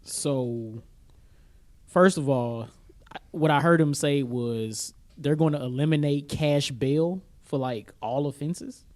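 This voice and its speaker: Halting man co-hosting a casual chat. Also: on the high side, darkish tone. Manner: halting, questioning, thinking aloud. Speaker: podcast co-host.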